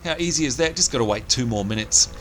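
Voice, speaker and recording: A man's voice: mid-range voice, lively intonation, fast, background noise. A man with a strained, nearly lost voice, chatting upbeat through gameplay commentary as he plays. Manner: chatty, upbeat, thinking aloud. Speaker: let's play host. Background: game sounds and music.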